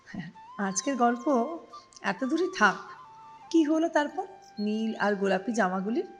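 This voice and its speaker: A woman presenting and narrating a short story in an expressive, scripted recitation style. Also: fairly high pitch, a little slow, soft background music.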